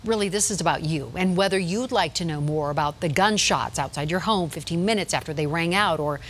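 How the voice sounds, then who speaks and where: A woman's voice: lowish voice, brisk, a little hiss or hum. An American woman presenting scripted television news as an anchor, addressing viewers directly.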